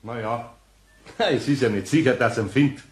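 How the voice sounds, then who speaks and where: A man's voice: mid-range voice, moderate pace, close to an everyday voice. A man with a Bavarian accent playing a good-natured, grumbling older craftsman in a children's audio play, homely and fatherly. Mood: worried. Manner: homely, muttering, soothing.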